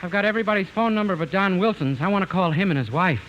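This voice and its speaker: An actor playing a polite, naive young son in 1950s radio comedy style. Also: male voice, sits high, expressive, fast, fairly bright tone, lo-fi sound. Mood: earnest. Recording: old radio broadcast recording with music.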